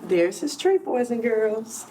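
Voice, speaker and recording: A woman's voice: a little high, very animated, takes it slowly, warm tone, far from the mic, a little hiss or hum, low-quality recording. A woman teacher reading a storybook aloud to young children, explaining things simply as she goes. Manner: instructive, addresses the children directly, explains words simply. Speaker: preschool teacher.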